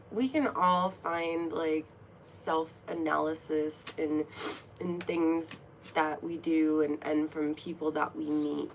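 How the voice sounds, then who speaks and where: A woman talks unhurriedly and a bit low, nasal and sniffly from a cold. She chats to her live viewers in a playful, giggly way. A faint hum of room noise sits behind her. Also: creaky, low-quality recording. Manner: chatty, playful, giggly asides. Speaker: tarot reader and streamer. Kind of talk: casual livestream chat. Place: room at home.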